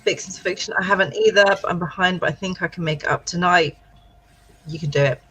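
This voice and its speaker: British woman on a writing stream, breathy husky voice, chatty n encouraging. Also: on the low side, fast, a bit bright, rough recording. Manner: chatty, encouraging, thinks aloud. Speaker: livestream co-host. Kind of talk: casual writing-sprint livestream chat, reading viewer comments.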